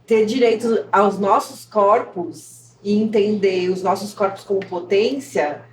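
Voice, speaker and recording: A woman's voice: medium pitch, measured pace, a little mellow. A woman from a theater company speaking passionately and searching for her words in a casual discussion. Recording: distant mic in an echoey, noisy room.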